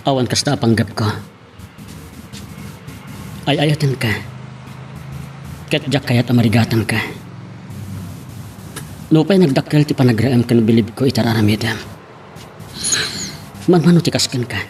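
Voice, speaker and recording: A man's voice: medium pitch, unhurried, pauses often, a little mellow, soft background music, lightly acted. A man playing a devoted, persistent young male suitor in an Ilocano-language radio drama. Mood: earnest, reassuring.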